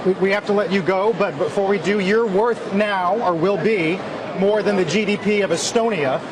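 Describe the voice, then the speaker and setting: High male TV interviewer, direct and wry. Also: moderate pace. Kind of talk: live TV interview. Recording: background noise.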